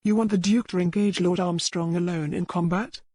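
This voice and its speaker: A woman's voice: on the low side, steady tone, normal speed, dark tone, no echo, close to an everyday voice. A woman voicing a loyal, world-weary old herbalist servant in a historical romance audiobook. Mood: puzzled. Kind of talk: dramatic audiobook character reading.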